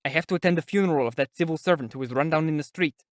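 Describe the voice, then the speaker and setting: A man voicing a resentful young visitor in an audiobook, speaking resolutely and irritably, close to shouting. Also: fairly high pitch, animated, fairly quick, no room sound, pitched above the performer's own voice. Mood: resolute, irritable. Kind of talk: audiobook character acting.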